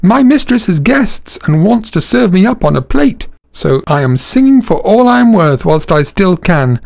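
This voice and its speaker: A man putting on a high, crowing old rooster. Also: sing-song, normal speed, vocal fry, darkish tone, exaggerated voice. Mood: urgent. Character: old male rooster, loud and proud.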